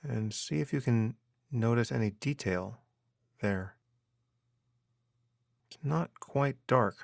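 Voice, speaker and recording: A man guiding a meditation as a teacher, giving measured instructions with unhurried pauses. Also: mid-range voice, vocal fry, no echo, studio quality. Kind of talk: guided meditation narration.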